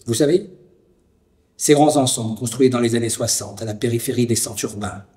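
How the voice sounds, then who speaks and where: Male narrator from France, mid-range voice, reciting a personal, poetic documentary text with deliberate phrasing. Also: mid-range voice, warm tone. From France (Lyon area).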